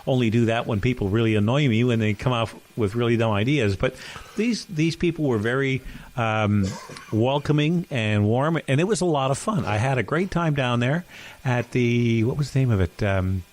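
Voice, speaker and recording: A middle-aged man co-hosting a chat podcast, voice sitting at an ordinary middle pitch. He rambles through his stories, correcting himself as he goes, and now and then he coughs. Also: animated, moderate pace, darkish tone. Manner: rambling, self-correcting storyteller. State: occasional cough. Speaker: podcast co-host. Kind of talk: casual chat.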